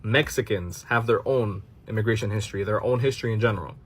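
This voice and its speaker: A man's voice: on the low side, fast talker. A man from Miami reacting to a clip and explaining his view straight to viewers, dropping in Spanish phrases. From Miami, United States. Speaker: commentary channel host. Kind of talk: casual reaction commentary to a clip.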